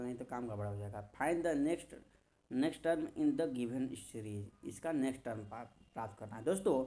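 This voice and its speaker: A man's voice: medium pitch, dark tone. A man teaching an informal coaching lesson, repeating key phrases and answering his own questions as he talks straight to students. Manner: emphatic, addresses students directly. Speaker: maths and reasoning teacher.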